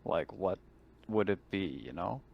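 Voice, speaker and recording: A man's voice: sits low, expressive, unhurried, creaky, slightly rough, highs cut off. A man chatting casually and bluntly, with some swearing, as a player in a tabletop role-playing game.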